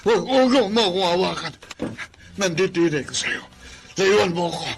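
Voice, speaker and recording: A man's voice: high-pitched, expressive, moderate pace, vocal fry, noisy background. A man playing a cruel, sickly father in a Tagalog radio drama, berating and threatening in a harsh manner. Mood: furious, bitter. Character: cruel, sickly, bitter father rejecting his son.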